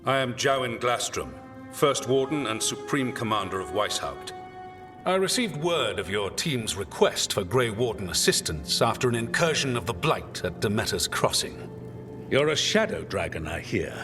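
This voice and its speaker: A man plays a haughty, stern supreme commander from a fantasy game. His delivery is formal and swings widely in pitch, ringing through a long echo with loud music behind. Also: sing-song, normal speed, lightly acted. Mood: stern, accusing. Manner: formal, pompous, dismissive. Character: stern, haughty military commander of a warden order. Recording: echoing hall under loud game music.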